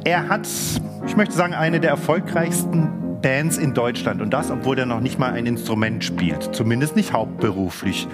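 A man's voice: medium pitch, normal speed, hardly any pauses. A man co-hosting a talk show, chatting casually with dry, joking banter. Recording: loud music behind the voice.

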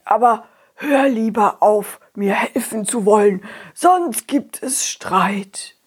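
A woman voicing a small, sad old pebble with breathy sighs and slow, plaintive, resigned speech. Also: fairly high pitch. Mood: sad, grateful. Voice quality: breathy, sighing.

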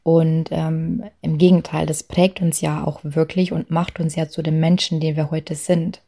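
A young woman with a light Bavarian accent talks through coaching points in a relaxed, chatty way. Her voice sits a little low, with a gravelly fry and a dark, mellow tone. She leans on fillers and small asides. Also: measured pace. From Lower Bavaria, Germany. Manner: chatty, frequent fillers, soft laughs. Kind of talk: talk on authenticity at work.

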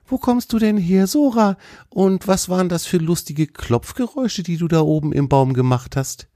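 A man voicing a young male deer in a children's storybook, gentle, curious and friendly, with an earnest, wide-eyed manner. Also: high-pitched, normal speed, dry recording, studio quality, voice pushed up, close to an everyday voice. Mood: curious, excited.

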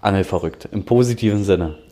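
A man's voice: sits low, a little fast, mellow. A man from Berlin in his thirties, an angler talking casually as a podcast guest, upbeat and a bit rambling, laughing often. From Germany, Berlin.

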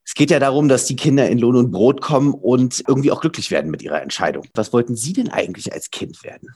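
A man hosting a podcast, reading an intro and asking questions in an emphatic, lively way. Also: mid-range voice, fast talker, husky, no echo, clean studio sound. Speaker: podcast host. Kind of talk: podcast interview and scripted intro.

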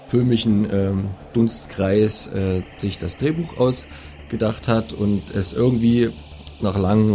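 A man's voice: fairly low pitch, dark tone. A man giving an informal film review, hesitant and searching for words as a radio reviewer.

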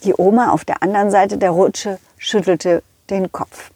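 A woman's voice: medium pitch, steady tone, normal speed, mellow, no room sound, clean studio sound, close to an everyday voice. A woman voicing a friendly, eager dog who tells its own adventure to children, repeating words for emphasis. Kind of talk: first-person children's storytelling.

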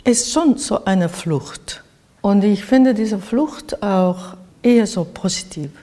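An older woman from Japan with a Japanese accent, giving reflective interview answers haltingly as she searches for words. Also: medium pitch, warm tone. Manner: halting, searching for words in non-native phrasing. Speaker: interviewed artist. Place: art studio in Berlin, indoors. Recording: reverberant, echoey room.

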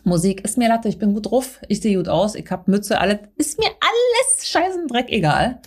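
A woman's voice: sing-song, moderate pace, a little mellow, breathy edge. Brash chatty Berlin woman. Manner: brash, chatty, slangy, mixes in English phrases; playful, theatrical pitch swings. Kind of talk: comic monologue addressed to a friend.

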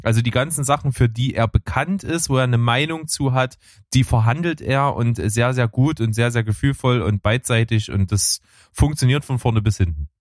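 Guy co-hosting a film chat, mid-pitched, rambly with lots of ums, dry close sound. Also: normal speed, dry recording. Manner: relaxed, rambling with many fillers. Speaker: co-host.

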